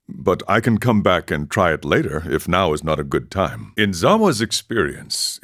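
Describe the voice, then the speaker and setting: An actor playing a serene, eccentric ascetic monk in a video game, speaking in a measured, philosophical way with formal phrasing. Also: male voice, sits low, exaggerated voice. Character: serene, eccentric ascetic drug-taking monk who relishes suffering. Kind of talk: scripted game character dialogue.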